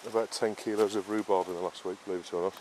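Low-voiced man giving a garden tour. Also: steady tone, fast, a little mellow. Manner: rambling, many fillers and restarts, explains step by step. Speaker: allotment gardener, show host. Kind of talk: casual garden tour walkthrough. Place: outdoors on a vegetable allotment. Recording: noisy outdoor camera audio. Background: outdoor rural ambience.

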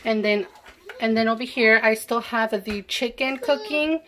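A woman's voice: fairly high pitch, a little slow. Casual woman vlogger in her late twenties, talks to camera at home, noisy home-video sound. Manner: chatty, addresses viewers directly, many fillers. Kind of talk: casual home vlog chat. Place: home kitchen.

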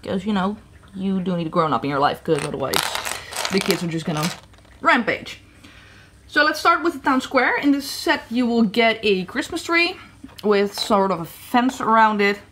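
A woman's voice: mid-range voice, expressive, moderate pace, a bit bright, slight background noise. A woman from the Netherlands chatting casually through a toy review, hesitant with many fillers and restarts. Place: indoor room at a tabletop set.

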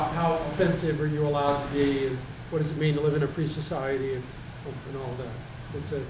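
A man moderating a conference panel, brisk as he wraps up the session. His voice sits a touch higher than most. The room rings around him, with a clear layer of noise underneath. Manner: brisk, wrapping up. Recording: roomy, with noise.

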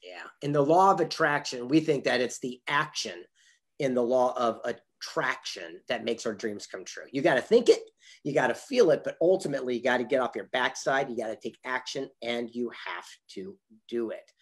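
A man in his fifties with a husky voice, telling motivational anecdotes emphatically on a video call and acting out the dialogue. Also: mid-range voice, expressive, normal speed. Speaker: motivational speaker and author, interview guest. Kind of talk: animated motivational storytelling in an online interview. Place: indoor home office with memorabilia wall. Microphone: video call, computer mic.

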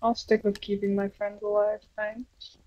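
A woman's voice: medium pitch, level tone, a little slow, darkish tone, lo-fi sound, lightly acted. A woman voicing a good-hearted, reserved puppet animatronic in an improvised game roleplay, with dry, terse, unimpressed delivery.

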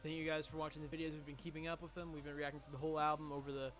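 A young man with a slightly hoarse voice chatting casually while reacting to music, recorded on a headset in a small bedroom. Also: on the high side, completely flat delivery, very fast, a bit bright. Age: young adult. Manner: chatty, rambling, with restarts.

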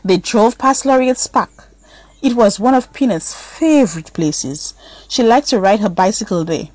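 A woman's voice: medium pitch, animated, measured pace, a little mellow, dry recording, rough recording. A woman narrating a children's story as a scripted read-aloud.

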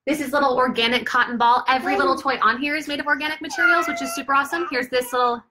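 A woman's voice: high voice, big pitch swings, very fast, pushed and bright. A young woman chatting casually to camera as she shows off a baby play gym, upbeat and chatty with lots of fillers, recorded on a living room floor by a camera a few feet away. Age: young adult. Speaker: product reviewer and mother. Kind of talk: casual product demo to camera.

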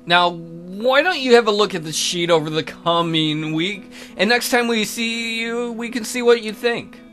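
Guy with a really high bright voice reading out a mysterious tutor character, jokey, guitar in the background. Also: expressive, a little slow. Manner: jokey ad-libbing. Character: enigmatic male tutor hinting at secrets. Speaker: let's play co-host. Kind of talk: acting out visual novel dialogue. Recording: guitar music behind.

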